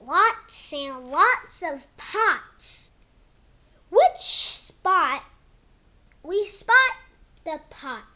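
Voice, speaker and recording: A child hosting a read-aloud of a picture book, reading haltingly like a beginner and drawing words out. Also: extremely high pitch, lively intonation, sharp tone.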